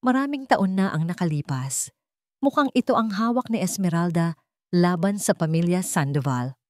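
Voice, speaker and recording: A woman's voice: lowish voice, expressive, normal speed, darkish tone, dry recording, lightly acted. An urgent, businesslike male private investigator from a radio-style story, played by a woman. He reports respectfully and to the point. The recording is dry and close. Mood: urgent, deferential. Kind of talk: dramatic audio storytelling.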